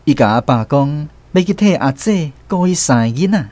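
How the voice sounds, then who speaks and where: An actor with a Taiwanese accent narrating a family tale as the storyteller in a radio drama. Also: male voice, fairly high pitch, expressive, normal speed, darkish tone, no echo.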